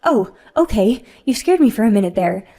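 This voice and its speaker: A woman doing a spoiled entitled brat, high whiny voice, indignant and loud. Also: big pitch swings, normal speed. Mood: flustered. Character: spoiled, shrill entitled grown woman, bratty.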